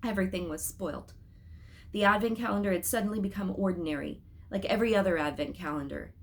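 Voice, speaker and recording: A woman reading a children's story aloud as an expressive storyteller, with dramatic, emphatic phrasing. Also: mid-range voice, quick pace.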